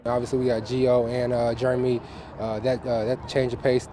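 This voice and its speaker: Guy in sports interview, mellow flat voice, slight outside noise. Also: medium pitch, normal speed. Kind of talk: unscripted interview answer. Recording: faint outdoor background noise.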